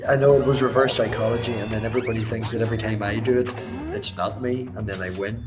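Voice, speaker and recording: A man's voice: fairly low pitch, fairly even intonation, fast talker, echoey room, over loud music. A man playing a party game with friends, chatting cheekily and swearing freely. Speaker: player. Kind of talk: casual game-night chat.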